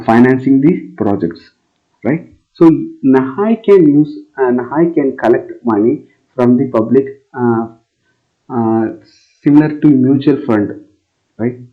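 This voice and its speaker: A man from India explains a news article as an exam coaching lecture. His voice sits at an ordinary middle pitch, and the audio is thin and tinny, like a phone line. He pauses often and keeps checking in with his listeners. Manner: many fillers and "right?" checks, repeats phrases while explaining. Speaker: current affairs tutor.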